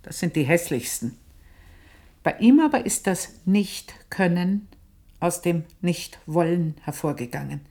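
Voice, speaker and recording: A woman's voice: fairly low pitch, lively intonation, warm tone, reverberant. An actress playing an earthy, proud, aging housemaid, confiding her memories in a radio play monologue. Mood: brooding pride. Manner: confiding, unhurried storytelling with pointed pauses. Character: earthy, proud aging housemaid reminiscing about past desires.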